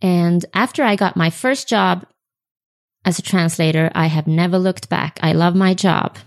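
A woman's voice: on the low side, a little slow. A woman in her forties with a Swedish accent, hosting an unedited solo podcast and talking with frequent ums and self-corrections. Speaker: podcast host.

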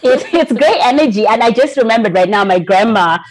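A woman, a podcast guest talking in a lively way, often laughing and saying "like" and "right?". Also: a little high, a little fast. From Zimbabwe. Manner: animated storyteller, laughs often, frequent "like" and "right?". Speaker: guest, employee group founder. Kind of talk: casual podcast interview.

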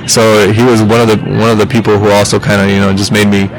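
A man's voice: on the low side, flat delivery, fast, poor audio. A man in his thirties, a musician guest on a podcast, talking casually and rambling with lots of fillers and restarts. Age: 30s. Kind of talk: casual interview chat.

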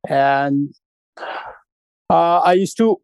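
Middle-aged guy from nepal, halting, fillers everywhere, dead dry sound. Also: a little high, a little mellow, smooth, no room sound. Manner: unhurried, halting, with many fillers. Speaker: guest, restaurant founder. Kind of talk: casual interview storytelling.

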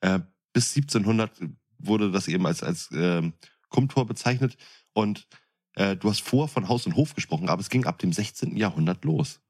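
A man's voice: fairly low pitch, expressive, normal speed, a little mellow. A man co-hosting a podcast, chatting casually in crude, slangy language. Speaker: podcast co-host. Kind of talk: casual chat.

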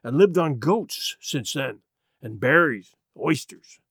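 A man voicing a marooned old pirate, childlike and sly, with a hoarse, rusty voice and rustic sailor speech for a storybook. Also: mid-range voice, animated, slow, fairly bright tone, dry recording, studio quality, pitched below the performer's own voice, exaggerated voice. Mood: plaintive.

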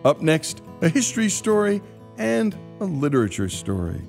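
Gravelly, animated male storytelling host. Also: on the high side, moderate pace. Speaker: radio show host. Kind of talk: scripted narration introduction. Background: faint guitar music.

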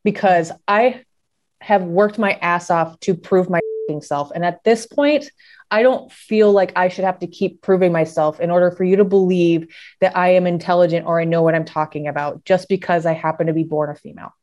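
A woman's voice: lowish voice, fairly even intonation, moderate pace, a little mellow. A woman co-hosting a talk show, speaking bluntly and with emphasis, swearing now and then to drive a point home. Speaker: podcast co-host. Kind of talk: candid conversational chat.

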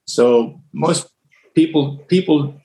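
A man from the United States, a laughter yoga leader, talking as a guest in a relaxed way, with many restarts and easy laughs. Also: on the high side, gravelly. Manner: relaxed storyteller, halting with restarts, laughs easily. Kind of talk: casual conversation. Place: home with household noises. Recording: echoey room.

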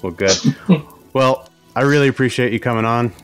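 A man's voice: mid-range voice, normal speed, a bit bright, clean tone, soft background music. A man hosting a podcast with a slight New England accent and a faint nasal whine, chatting casually and rambling with many restarts. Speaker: podcast host. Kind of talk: casual interview chat.

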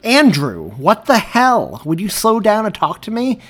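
A man voicing an easygoing, reckless male police partner who shouts in exasperation and then talks his friend round, in an audiobook. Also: mid-range voice, animated, normal speed. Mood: shouting. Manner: loud, exasperated then coaxing.

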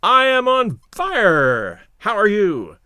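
A man voicing a hearty, reckless, tipsy English gentleman in a story reading, declaiming in toasts. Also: high voice, big pitch swings, unhurried, dry recording, studio quality, full character voice. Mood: wildly exhilarated.

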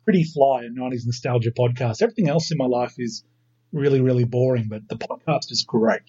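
A man's voice: medium pitch, a little fast, mellow, slightly rough, muffled recording. A man in his thirties with an Australian accent, chatting as a podcast guest with self-deprecating humour. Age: 30s. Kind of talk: casual chat.

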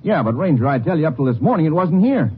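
A man's voice: a little high, big pitch swings, quick pace. An actor playing a defensive man who insists he is innocent, in a 1950s radio drama. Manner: protesting, insistent. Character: defensive man accused of insurance fraud. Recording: muffled old radio broadcast with hiss.

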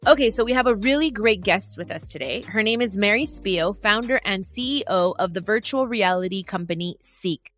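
A woman's voice: mid-range voice, animated, moderate pace, hardly any pauses, a bit bright, soft background music. A woman hosting a podcast, chatting with a guest in a bubbly, enthusiastic way and gushing with praise. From Mexico. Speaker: podcast host. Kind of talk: casual interview chat.

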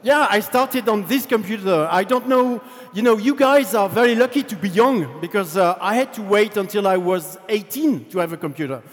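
A man with a French accent tells his story from a stage in a loose, chatty way, dotted with fillers. His voice sits high. The sound rings out with a long reverb from a large hall. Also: lively intonation, normal speed, hardly any pauses. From France. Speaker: game developer giving a talk. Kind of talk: conference presentation.

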